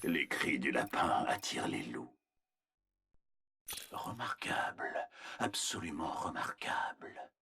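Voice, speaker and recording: An actor playing a cold, arrogant, menacing scientist villain in a video game, speaking in a measured, condescending, clinical manner with a husky voice. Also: male voice, rumbling low, animated, sharp tone, in a whisper, exaggerated voice. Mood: sinister hush. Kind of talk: scripted video game character voice lines.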